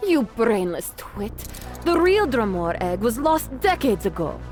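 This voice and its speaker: A woman's voice: on the high side, sing-song, unhurried, a little mellow. A woman playing a scornful, imperious female crime boss in an audio drama. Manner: contemptuous, imperious. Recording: music behind the voice.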